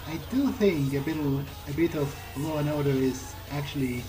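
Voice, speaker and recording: A man's voice: a little high, moderate pace, low-quality recording. Hesitant man roleplaying casually over music. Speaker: player character in a roleplay playthrough. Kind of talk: in-character game dialogue, casual improvised speech. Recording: game music behind the voice. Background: video game music.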